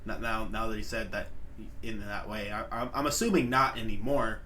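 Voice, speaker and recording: A man's voice: lowish voice, brisk, bright, forceful tone, slight background noise. An American man hosting a reaction video, talking loosely in slang, swearing and laughing often. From United States. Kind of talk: casual reaction commentary.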